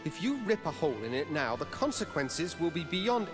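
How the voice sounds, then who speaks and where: An actor playing an earnest, wry young apprentice hero in a video game. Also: male voice, fairly high pitch, very animated, normal speed, music in the background. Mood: alarmed. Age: young adult.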